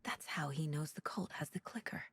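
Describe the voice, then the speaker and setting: An actress playing a determined woman detective in a video game, thinking aloud in short, intense, measured sentences. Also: sits low, in a whisper, natural voice. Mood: focused, puzzled. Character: determined woman detective reasoning through a case. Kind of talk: dramatic game monologue.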